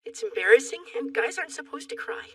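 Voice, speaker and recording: A woman voicing an insecure, brooding young boy in an emotional animated drama, sullen, blunt and vulnerable. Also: high-pitched, fast, distant mic. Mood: awkward.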